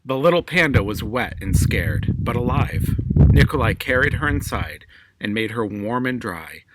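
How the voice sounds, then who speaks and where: A man's voice: medium pitch, animated, normal speed, slight background noise, low-quality recording. A man reads a children's story aloud as a storyteller, in narrated prose.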